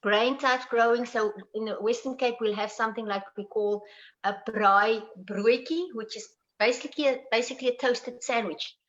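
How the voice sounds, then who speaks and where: Woman, South African accent, native Afrikaans speaker, hesitant, fairly high voice, dull lo-fi call audio. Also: moderate pace. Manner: hesitant, many ums, laughs often. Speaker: panel guest. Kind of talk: casual conversation.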